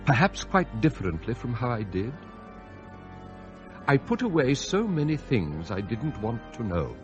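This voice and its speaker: Immaculate butler w dark intent, measured radio drama delivery, music under it. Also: male voice, medium pitch, very animated, lo-fi sound. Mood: regretful. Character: immaculate butler, quietly bitter and murderously scheming. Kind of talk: radio drama narration and dialogue.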